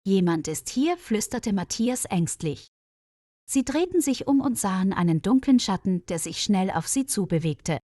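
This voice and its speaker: Female storyteller for learner audio, animated intonation, dry close sound, scripted narration. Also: mid-range voice, normal speed, no room sound.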